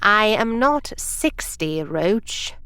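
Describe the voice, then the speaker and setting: A prim, rule-bound veteran time traveler. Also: female voice, medium pitch, sing-song, very slow, pushed and bright, smooth, no room sound, heavily acted. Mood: indignant. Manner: formal, prim, easily irritated. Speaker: senior time travel agent. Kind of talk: audio drama acting.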